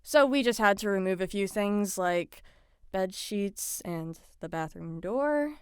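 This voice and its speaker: A woman's voice: medium pitch, animated, slow, clean tone, dry recording, clean studio sound. A woman playing a friendly, slightly awkward patient supervisor in an audio drama, talking in an eager, reassuring way.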